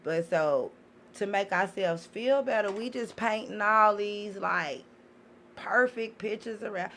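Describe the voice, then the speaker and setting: A woman's voice: medium pitch, unhurried, fairly bright tone. A woman speaks candidly and at length in a casual group conversation, with a mid-range voice. Her talk is emphatic, slangy and peppered with profanity. Faint noise from a live podcast room sits behind her. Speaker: talk show panelist and parent.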